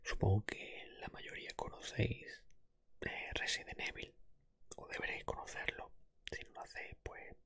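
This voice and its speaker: A man hosting an ASMR podcast, speaking in a hushed, careful way that mixes breathy whispers with soft-spoken talk, close to the microphone. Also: normal speed. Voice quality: breathy whisper with soft low voiced stretches. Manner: hushed, careful not to raise the voice.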